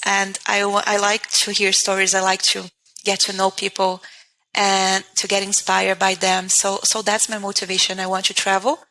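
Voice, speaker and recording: Portuguese-accented woman, language teacher as guest, crisp bright tone, thinks aloud with restarts. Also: medium pitch, rough recording. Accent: Portuguese-speaking. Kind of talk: casual interview.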